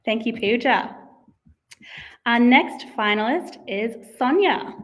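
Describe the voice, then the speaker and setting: A woman's voice: on the high side, lively intonation, takes it slowly. Upbeat young woman hosting online. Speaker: host. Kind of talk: scripted livestream hosting. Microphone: video call.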